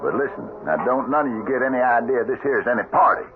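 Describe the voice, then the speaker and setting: An actor playing a stern, commanding cattle-drive trail boss in a radio western, giving orders in folksy cowboy talk. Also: male voice, medium pitch, lively intonation, fast, close to an everyday voice. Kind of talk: 1970s radio western acting. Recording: muffled, noisy old radio drama recording.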